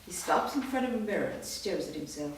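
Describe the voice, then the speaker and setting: A woman's voice: lowish voice, animated, brisk, slight background noise. A woman steadily reading a screenplay's scene directions aloud in a matter-of-fact way. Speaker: reader of a screenplay. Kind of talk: staged reading of stage directions. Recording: echoey room from far off.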